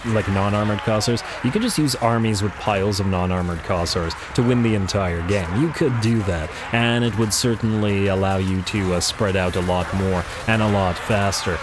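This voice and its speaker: A man in a relaxed, chatty let's-play, talking through a battle with a lowish voice that has turned a little raspy after a coughing fit. He rambles, repeats words and leans on fillers. Steady game noise sits clearly behind him. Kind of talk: casual gameplay commentary.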